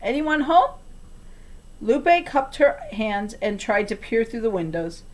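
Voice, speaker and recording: Female narrator for a children's novel, medium pitch, expressive read-aloud delivery. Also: a little slow.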